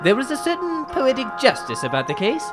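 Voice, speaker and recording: A woman playing a courteous, self-assured gentleman detective, composed, deliberate and formal, in an old-time radio drama. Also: sits high, huge pitch swings, over music. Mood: relaxed, explaining.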